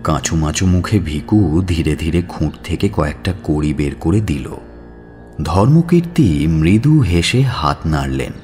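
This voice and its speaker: A man's voice: very deep voice, expressive, a little slow, a little mellow, faint music behind. A man narrating a scripted audio story in a dramatic storytelling style.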